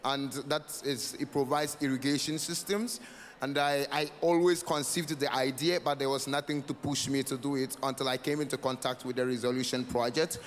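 Young ghanaian guy giving an earnest speech, mid-pitched voice, big echoey hall w some noise. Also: fairly even intonation, moderate pace. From Northern Ghana. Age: 20s. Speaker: project founder, guest speaker. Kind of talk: personal speech of thanks. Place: large event hall.

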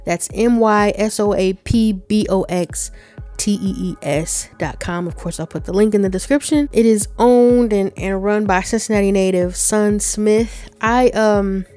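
A woman hosting a podcast in a brisk way, spelling out web addresses letter by letter. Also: mid-range voice, warm tone, faint music behind. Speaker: podcast host.

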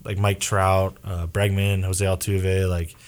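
A man's voice: low voice, level tone, a little slow. A man hosting a casual sports chat, rambling loosely and leaning heavily on filler words. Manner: rambling, heavy on filler words, trails off mid-thought. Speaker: podcast host.

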